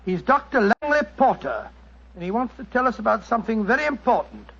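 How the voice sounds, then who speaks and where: An actor playing a genial older English gentleman storyteller with an English accent, in 1940s radio drama style. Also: very high-pitched, big pitch swings, a little fast, creaky, slightly rough, full character voice. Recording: dull vintage 1940s radio recording.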